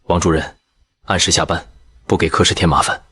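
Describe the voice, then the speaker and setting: A man narrating in the first person as a calm surgeon, in measured scripted narration. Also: deep voice, slightly rough, heavily acted. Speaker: narrator and lead character, a surgeon.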